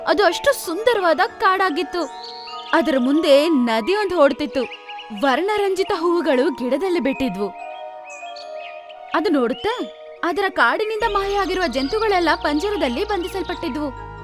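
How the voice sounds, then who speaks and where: A woman's voice: extremely high pitch, lively intonation, a little slow, pauses often, over music. A woman narrating a scripted animal story for children in a storyteller's style.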